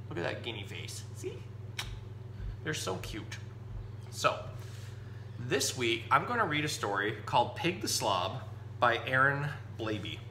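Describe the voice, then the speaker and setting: A man's voice: medium pitch, pushed and bright. A man giving an informal, explanatory animal lesson and reading a picture book aloud for children. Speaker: zookeeper presenting animals and reading stories to children. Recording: roomy echo with background noise and hum.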